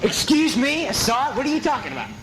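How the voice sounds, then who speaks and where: A man's voice: very high-pitched, big pitch swings, fast talker. A playful young man joking and teasing his friends in an old home video. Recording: noisy crowded room, archive video. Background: loud crowd chatter.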